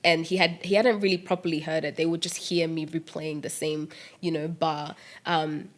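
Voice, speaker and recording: Animated young woman, laughs a lot. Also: low-pitched, normal speed. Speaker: musician and producer guest. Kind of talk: casual interview chat.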